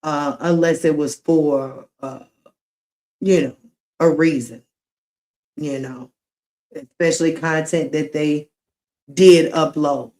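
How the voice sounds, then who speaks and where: A woman's voice: low-pitched, fairly even intonation, takes it slowly, pauses often, warm tone. A woman chatting on her live stream, rambling with lots of fillers, talking straight to her viewers as y'all and teasing the people she calls out. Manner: rambling, filler-heavy, addresses chat as y'all. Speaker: livestreamer.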